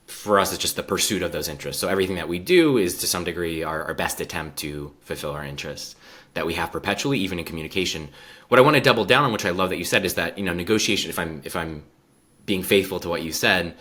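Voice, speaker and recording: A man's voice: sits low, bright, forceful tone. A man hosting a podcast interview, welcoming his guest and asking thoughtful questions with enthusiasm. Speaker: podcast host.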